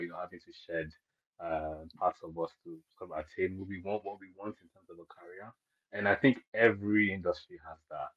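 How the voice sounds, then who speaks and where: A man's voice: deep voice, husky. A man from Nigeria, hosting a podcast chat in a thoughtful, hedging way full of fillers. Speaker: podcast host. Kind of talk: casual chat. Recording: dull lo-fi audio.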